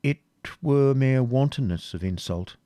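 Senior courtier voiced by a male narrator, stern, weighty, cautioning, measured storybook delivery. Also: mid-range voice, animated, dark tone, no room sound, clean studio sound, pitched above the performer's own voice, lightly acted. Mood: grave warning.

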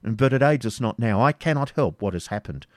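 A man's voice: mid-range voice, animated, fairly quick, darkish tone, dry recording, clean studio sound, pitched above the performer's own voice, close to an everyday voice. A man voicing a stern, cruel medieval baron who speaks in harsh commands.

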